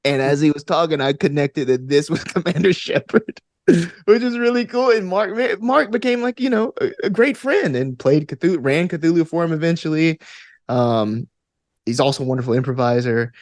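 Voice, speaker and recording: A man's voice: a little high, moderate pace, no room sound, clean studio sound. An American man talking casually and enthusiastically as an interview guest, telling stories in an informal way. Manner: enthusiastic, informal, punctuated with "like" and "you know". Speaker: interview guest, actor and game master. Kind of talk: casual conversational storytelling.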